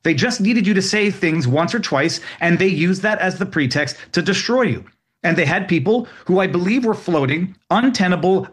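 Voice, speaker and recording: A man who is a guest on an interview show, arguing his points in measured, lawyerly phrasing. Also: high voice, fairly bright tone. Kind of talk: interview discussion.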